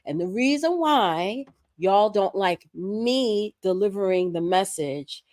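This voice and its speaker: A hoarse woman, sick with a respiratory virus, making earnest, emphatic points as a guest on a livestream conversation. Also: mid-range voice, expressive, slow pace, darkish tone, no echo, studio quality. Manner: earnest, emphatic, building points through repetition. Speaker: guest commentator.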